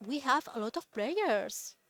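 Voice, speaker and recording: A woman co-hosting a live stream, speaking haltingly and searching for her words. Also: sits high, animated, dry recording. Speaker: event co-host. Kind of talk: casual live stream chat.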